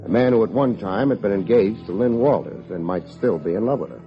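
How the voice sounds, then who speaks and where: An American actor playing a wry, hard-boiled private detective in an old radio drama, with dry, needling delivery. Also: male voice, fairly low pitch, expressive, a little fast, soft background music. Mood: grim narration. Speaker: private investigator and narrator. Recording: dull vintage radio recording.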